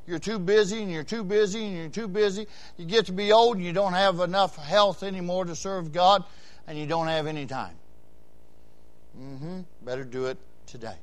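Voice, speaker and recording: High-voiced preacher in his sixties, surging. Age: 60s. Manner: preaching with big swings between quiet asides and loud shouted emphasis; repeats phrases in rhythmic runs. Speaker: Baptist pastor. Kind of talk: sermon.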